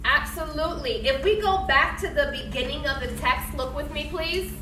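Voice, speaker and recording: A woman's voice: sits high, normal speed. A woman teaching young pupils in a classroom, asking questions and explaining in an encouraging, didactic way. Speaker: elementary school teacher. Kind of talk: classroom read-aloud discussion, questioning pupils. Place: indoor classroom. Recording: echoey, noisy classroom from a distance.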